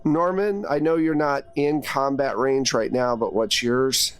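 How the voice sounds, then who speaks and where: A man's voice: mid-range voice, a little slow, clean tone. Man running a tabletop game. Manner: conversational second-person narration, casual asides to players. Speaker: game master. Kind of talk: narrating a tabletop horror game to players.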